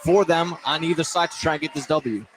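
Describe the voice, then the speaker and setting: A man gives unscripted sports analysis in a conversational, halting way. His voice is on the high side. There is clear ambient noise behind him. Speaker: color commentator. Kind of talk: unscripted live sports commentary.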